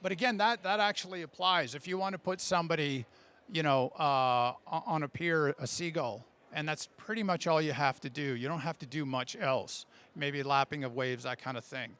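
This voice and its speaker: A man giving a writing workshop, answering audience questions in an animated, informal way that often stops and restarts. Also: a little high, clear, resonant tone. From Canada. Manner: animated, informal, halting, self-interrupting. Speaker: speaker giving a writing workshop, audio fiction creator. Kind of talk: conference talk question and answer.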